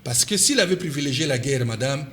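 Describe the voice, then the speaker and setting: A man's voice: medium pitch, lively intonation, a little fast, fairly bright tone. A man with a Congolese accent speaking as a political commentator in an interview, insistent and repeating his key points for force. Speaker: political commentator and party official, interview guest.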